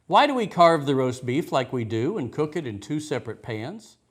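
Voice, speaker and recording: A curious little girl of four read by a man from a rhyming storybook. She is puzzled and earnest, and her questions move with a lively pitch. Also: moderate pace, slightly rough.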